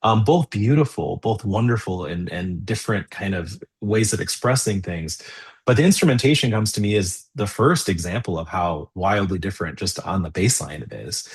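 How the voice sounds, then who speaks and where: A middle-aged man speaking as an interview guest, thoughtful and easy to laugh, animated when explaining. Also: sits low, moderate pace, husky. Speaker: guest, composer and theology professor. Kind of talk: conversational interview.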